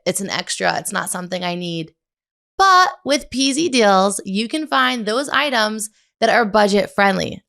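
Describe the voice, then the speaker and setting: Gravelly-voiced woman podcast host. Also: medium pitch, a bit bright. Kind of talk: podcast interview and ad read.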